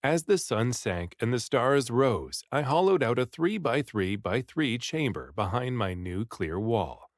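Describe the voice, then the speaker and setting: Man, expressive read-aloud narration, lively intonation, lowish-to-middle voice, dramatic storytelling, no room echo. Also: unhurried, hardly any pauses, clean studio sound. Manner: dramatic, animated delivery with acted dialogue. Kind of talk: expressive first-person audiobook storytelling.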